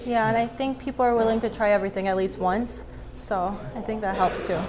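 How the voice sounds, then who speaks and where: A woman's voice: medium pitch, fairly even intonation, brisk. A woman in her twenties from North Dakota, a restaurant co-owner, speaking easily in an interview. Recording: crowd noise behind.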